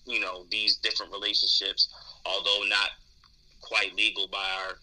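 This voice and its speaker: A man's voice: on the low side, flat delivery, moderate pace, sharp tone, low-quality recording. A man in candid podcast conversation, speaking thoughtfully and hesitantly, often repeating words as he explains. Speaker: podcast co-host discussing dating.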